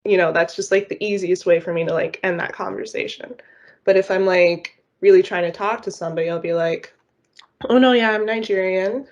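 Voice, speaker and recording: Young woman from the US, mid-pitched voice, hedging, casual podcast guest. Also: fairly even intonation, a little fast, darkish tone, muffled recording. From United States. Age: young adult. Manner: thoughtful, hedging with "like" and "you know".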